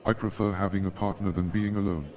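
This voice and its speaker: Radio play casting: male actor as eager Victorian ex-soldier, low-pitched, hearty, dull recording with crowd murmur. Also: fairly even intonation, quick pace, darkish tone, lightly acted. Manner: exuberant, hearty. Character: Watson, lonely, eager ex-army man in Victorian London. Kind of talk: comic staged radio play acting. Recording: dull band-limited sound, crowd murmur behind.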